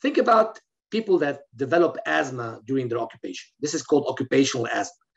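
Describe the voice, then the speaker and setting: Gravelly Lebanese-born man lecturing. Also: medium pitch, sing-song, fast. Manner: explanatory, with frequent fillers and restarts. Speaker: physician lecturer. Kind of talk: recorded medical webinar lecture. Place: indoor room, in front of a plain wall. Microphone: webcam on a video call. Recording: slightly dull, lo-fi video call audio.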